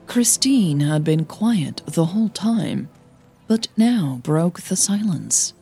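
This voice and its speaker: A woman's voice: on the low side, animated, takes it slowly, warm tone, slightly rough, faint music behind. A woman reading scripted narration for an audio fiction story.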